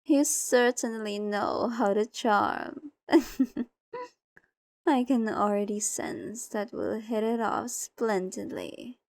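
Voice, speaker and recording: A woman's voice: a little high, fairly even intonation, takes it slowly, dry recording. A woman playing a seductive, possessive, ancient vampire mistress in an ASMR roleplay, coaxing and condescending, sometimes slipping into whispers. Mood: charmed, teasing. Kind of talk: ASMR roleplay acting, addressed to the listener.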